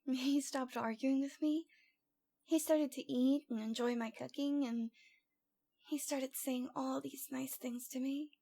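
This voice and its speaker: A woman's voice: high-pitched, fairly even intonation, a little slow, vocal fry, dark tone, heavily acted. A woman playing a cute, lovesick young stalker girl in an ASMR roleplay, with a coy, pouty, dramatic delivery. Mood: wistful, embarrassed.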